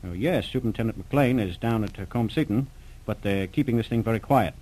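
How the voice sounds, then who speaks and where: Actor as formal, hesitant American doctor. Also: male voice, lowish voice, brisk, mellow, natural voice, poor audio. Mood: recounting, matter-of-fact. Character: educated Boston doctor, indignant but obliging. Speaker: actor in a radio drama. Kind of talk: 1940s radio drama acting. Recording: old radio serial recording.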